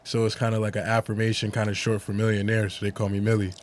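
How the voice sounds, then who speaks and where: A man talks fast in a low voice, chatty and quick to laugh. He is a manager appearing as a guest on a podcast, and he tells stories at a brisk clip. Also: completely flat delivery. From Norwalk, Connecticut. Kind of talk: casual podcast interview.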